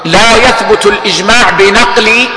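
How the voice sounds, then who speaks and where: A man's voice: high-pitched, moderate pace. A man explaining a classical text line by line, repeating key phrases and sometimes raising his voice for stress. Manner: repeats phrases for emphasis, frequent "yes" as a cue to go on; raises voice to stress a point. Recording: echoey hall.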